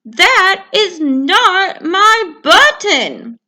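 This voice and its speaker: Woman putting on a fussy storybook toad, high whiny voice, slow drawn-out complaints, bright tone. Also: sing-song, full character voice. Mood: indignant. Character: fussy grumpy male toad, easily upset.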